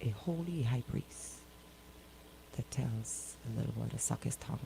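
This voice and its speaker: A woman's voice: rumbling low, a little slow, creaky, background noise. A woman hosting a casual live talk show, questioning people incredulously and repeating her words for emphasis. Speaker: radio show host.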